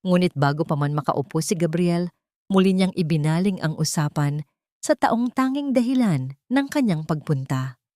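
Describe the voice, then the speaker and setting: A woman narrating a dramatic scripted story in a solemn, emotive, measured manner. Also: lowish voice, animated, mellow, no echo.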